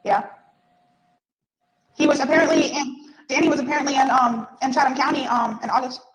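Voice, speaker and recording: A woman's voice: sits high, fairly even intonation, a little fast, reverberant, low-quality recording. A woman speaking casually and personally on a jail video call, rambling and repeating herself as she talks. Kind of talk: casual personal phone conversation.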